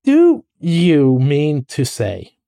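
A man voicing an excitable, kindly but bossy rat in a story, speaking in an eager, emphatic way. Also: on the high side, normal speed. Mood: excited.

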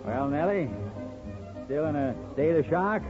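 A man's voice: a little high, animated, a little slow, over music. An actor playing a jovial, teasing small-town man with expansive, florid, theatrical talk in a 1950s radio drama. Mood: welcoming. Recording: dull, noisy 1950s radio recording.

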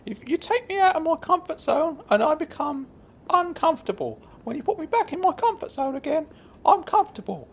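A man's voice: big pitch swings, fairly quick, exaggerated voice. A man voicing a timid, grumbling older companion who fusses and protests anxiously in a comic audio story. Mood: indignant. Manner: fussy, anxious, hushing and protesting. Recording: faint rumbling background noise.

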